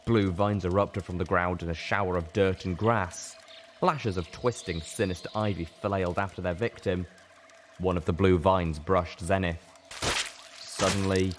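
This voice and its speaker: A man reading a story aloud as a dramatic, intense audiobook narration. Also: deep voice. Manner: intense, theatrical. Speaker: reader of a fan fiction story. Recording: clearly noisy background.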